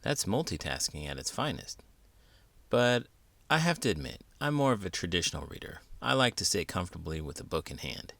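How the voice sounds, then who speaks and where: A man's voice: deep voice, big pitch swings, fairly quick, creaky, a bit bright, dry recording. A man hosting a children's storybook read-aloud, theatrical and full of big emotion, stretching words for effect. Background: music.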